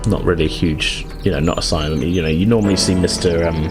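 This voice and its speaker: Deep voiced guy game mastering, kinda hesitant and chatty, bit of music under him. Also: fairly even intonation, quick pace. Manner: conversational, improvised, hesitant hedging. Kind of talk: narrating a tabletop roleplaying game. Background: background music.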